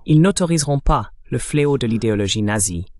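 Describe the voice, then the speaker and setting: A man's voice: medium pitch, animated, a little fast, a little mellow. A man delivering dubbed political commentary as the translated voice of an interview guest.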